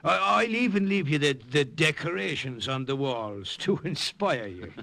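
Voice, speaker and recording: An actor playing a kindly, hearty Irish missionary priest in an old-time radio drama, avuncular and a little hesitant. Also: male voice, a little high, very animated, measured pace, vocal fry, sharp tone, dry recording, full character voice. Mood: genial. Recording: vintage radio drama recording.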